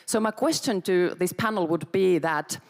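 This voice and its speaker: A woman's voice: on the low side, normal speed, darkish tone. A woman from Finland, putting a firm, pointed question to a panel from the audience. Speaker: audience member asking a question. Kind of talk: conference question from the floor. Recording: long reverb of a large hall.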